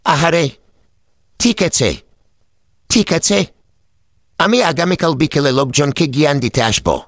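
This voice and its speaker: Casting: male actor for wily cartoon mullah, droll, raised pitch, lively intonation, repeats himself. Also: takes it slowly. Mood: obliging. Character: droll, wily village wise man, a mullah. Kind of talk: animated cartoon dialogue.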